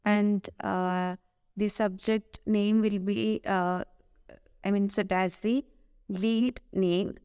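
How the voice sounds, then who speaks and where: A woman with an Indian English accent giving a screen-recorded software tutorial, hesitant, with many "uh" fillers and self-corrections. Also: medium pitch, fairly even intonation, takes it slowly, clear, resonant tone, dry recording, studio quality.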